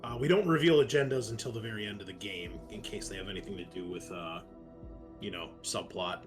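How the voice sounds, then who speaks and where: A man's voice: lowish voice, brisk. A man playing a tabletop roleplaying game, chatting casually with a wry, joking manner. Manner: wry, joking, explains rules readily. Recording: faint music under the voice. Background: faint music.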